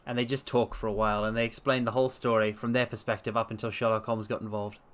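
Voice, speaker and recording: A man's voice: fairly low pitch, little pitch movement, quick pace, fairly bright tone. A man streaming a dramatic reading, talking casually with a playful, theatrical manner. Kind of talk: casual livestream commentary and story narration.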